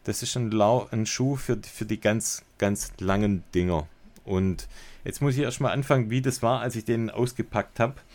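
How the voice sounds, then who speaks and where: A man's voice: fairly low pitch, moderate pace, darkish tone. A man chatting casually as a podcast co-host, enthusiastic and colloquial with bits of English slang. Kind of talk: casual podcast chat and product review.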